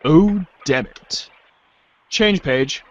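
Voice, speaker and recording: A man's voice: fairly high pitch, expressive, drawn-out words. A man narrating as host of a reader's theater, theatrical in the story and casual in asides, on a slightly dull recording.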